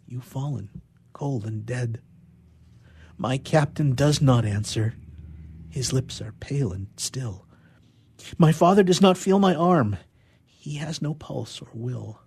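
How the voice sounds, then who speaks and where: Husky-voiced man, mid-pitched. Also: a little mellow. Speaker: radio theatre player reading poetry. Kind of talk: expressive poetry recitation and casual discussion.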